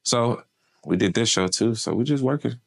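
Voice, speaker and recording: A man's voice: mid-range voice, normal speed, creaky. A man from Memphis, an artist manager chatting casually in an interview, laid-back and slangy with lots of fillers.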